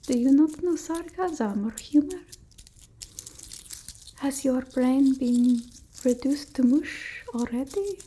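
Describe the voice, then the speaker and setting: Woman as a slow, whispering, haughty vampire mistress. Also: high voice, warm tone, a little hiss or hum. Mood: disdainful, teasing. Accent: put-on Eastern European. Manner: slow, imperious, teasing, hesitant murmuring. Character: haughty, fussy, doting female vampire mistress. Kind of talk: whispered ASMR roleplay.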